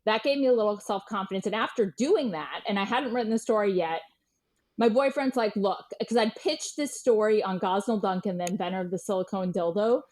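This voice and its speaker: A middle-aged woman in a casual interview, talking in a medium-pitched voice. She is chatty and candid, telling anecdotes with a self-deprecating streak. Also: a little fast, darkish tone. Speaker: guest journalist and writer.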